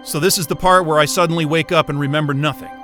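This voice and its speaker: Excitable, high-voiced man. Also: fast, soft background music. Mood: determined. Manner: excitable, sarcastic, exclamatory. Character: astrophysicist, excitable, sarcastic, foul-mouthed man. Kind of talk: naturalistic audio drama acting.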